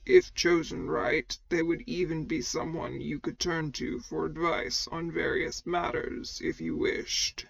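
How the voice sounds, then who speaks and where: A woman voicing a stern, commanding male mentor in armor, with deep gravelly tones and formal, measured speech. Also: fairly even intonation, full character voice. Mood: measured explaining.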